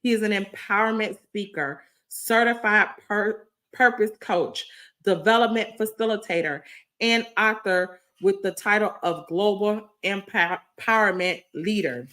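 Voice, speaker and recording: Female podcast host, slightly raspy, bright tone, effusive preacher-like delivery. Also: mid-range voice, a little slow. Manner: effusive, repetitive, preacher-like cadence. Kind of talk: enthusiastic podcast intro.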